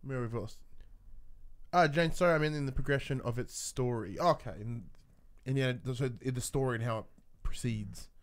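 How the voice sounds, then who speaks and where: Clear-voiced man co-hosting a casual chat. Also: mid-range voice, normal speed. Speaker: podcast co-host reading viewer questions.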